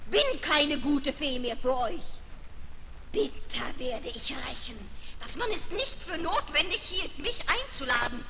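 An actress playing a bitter, vengeful wicked fairy in a fairy-tale radio play, declaiming slowly and scornfully on an old record. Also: female voice, high-pitched, animated. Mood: bitter, menacing. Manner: scornful, slow dramatic declamation. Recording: noisy old vinyl record.

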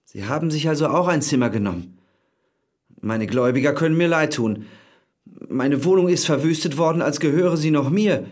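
An actor playing a ruined, chatty schemer in an audio drama, pushy and wry, with a raspy voice. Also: male voice, a little high, animated, moderate pace, darkish tone. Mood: relieved, wry. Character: ruined, fast-talking schemer with wry gallows humour.

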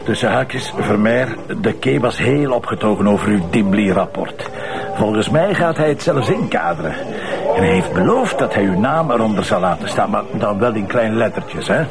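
An actor playing a sardonic, bossy police commissioner with a Flemish accent, in a radio drama with music underneath. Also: male voice, on the low side, lively intonation, normal speed. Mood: wry. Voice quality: gravelly, teasing, bossy. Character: gruff sardonic male police commissioner, middle-aged. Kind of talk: Belgian radio/TV drama acting.

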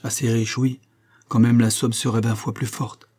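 A man voicing a gentle, anxious old banker who dotes on his daughter, in an audiobook story. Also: mid-range voice, flat delivery, normal speed, breathy edge, lightly acted. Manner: tender, earnest, pleading.

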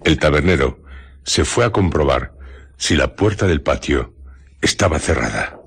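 A man narrating a scripted radio drama in a measured, dramatic way. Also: a bass voice, lively intonation, vocal fry, husky.

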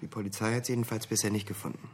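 An actor playing a businesslike office boss in a radio drama, matter-of-fact and polite, with a husky voice. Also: male voice, on the low side, fairly even intonation, rapid-fire, a little hiss or hum, natural voice. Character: businesslike male boss of an academic writing office.

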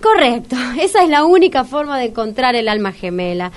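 Actress as high-voiced, slick Argentine agency woman. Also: sing-song, brisk. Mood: sales pitch. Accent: Argentine, Rioplatense. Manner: wordy, businesslike, affected. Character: Tamara, slick, officious, money-minded dating agency woman. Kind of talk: comic radio play acting.

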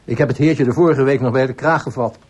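A man's voice: medium pitch, fast, a little mellow, slightly rough, close to an everyday voice. A man playing a dutiful, matter-of-fact village police sergeant who reports deferentially, in a radio drama.

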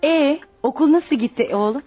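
A woman's voice: sits high, moderate pace, dark tone, soft background music, close to an everyday voice. An actress in a radio play playing a poor, devout widowed mother, protective and anxious, who speaks in an earnest, instructive way. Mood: sociable, curious. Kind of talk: radio theater acting.

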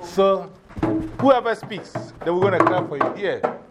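A man's voice: sits high, a little slow, loud music behind the voice. A man with a Ghanaian accent giving informal classroom instruction, directing people and repeating his instructions. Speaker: teacher of a drumming and English class.

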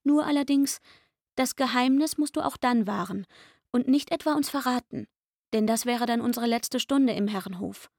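An actress playing a pleading young chambermaid in a radio play, speaking intimately and wistfully. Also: female voice, on the high side, normal speed, a little mellow, no room sound, clean studio sound. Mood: coaxing, pleading. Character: pleading young chambermaid luring a man into secret shelter. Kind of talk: literary radio play acting.

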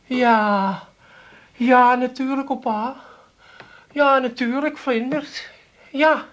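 An actress playing a flustered, fussing Amsterdam housewife who coaxes and repeats herself in a comic radio play. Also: female voice, high-pitched, slow pace. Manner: fussy, coaxing, repetitive. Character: fussing, flustered working-class Amsterdam housewife humouring old father-in-law.

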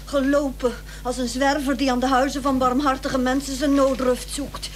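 A woman's voice: high voice, level tone, moderate pace, lightly acted. A woman playing an anxious, pleading townswoman in a Dutch radio play. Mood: flustered. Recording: noisy, clicking old radio recording.

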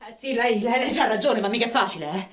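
A woman's voice: on the high side, fast talker, slight background noise, lightly acted. A woman playing a blunt, streetwise Roman woman in a tragicomic radio play, with a colloquial delivery. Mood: defensive, uneasy. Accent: Roman Italian. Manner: brash, colloquial. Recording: roomy, slightly distant microphone.

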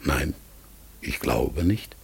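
Mysterious raven, very deep, extremely husky, halting, pensive, radio drama. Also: male voice, lively intonation, exaggerated voice. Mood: searching, haunted. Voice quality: husky, gravelly. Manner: halting, brooding, self-correcting formal speech. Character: mysterious talking raven, pensive, unsure of its own nature. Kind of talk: German radio play acting.

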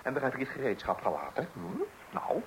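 A man's voice: mid-range voice, big pitch swings, fairly quick, a little hiss or hum, natural voice, rough recording. Actor as a blustering fussy father. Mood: scolding. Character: fussy middle-aged father.

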